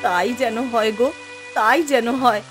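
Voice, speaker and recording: Casting: female actor for a plaintive cartoon village wife and mother, slightly high, caring. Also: normal speed, loud music behind the voice, natural voice. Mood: distraught. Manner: plaintive, fretful. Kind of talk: Bengali fairy-tale cartoon dubbing.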